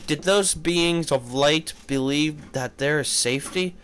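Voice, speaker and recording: A man's voice: on the high side, measured pace, a bit bright. A man reading a fan fiction aloud as a formal, threatening ancient demon, pompous and grandiose. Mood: menacing, scornful. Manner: pompous, archaic wording.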